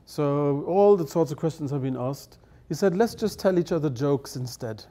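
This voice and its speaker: A man's voice: fairly high pitch, animated, moderate pace, dark tone. Cheerful man telling anecdotes. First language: English. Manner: chatty, laughing storyteller acting out quoted voices. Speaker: guest elder. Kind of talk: storytelling anecdotes. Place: studio set with dark curtains.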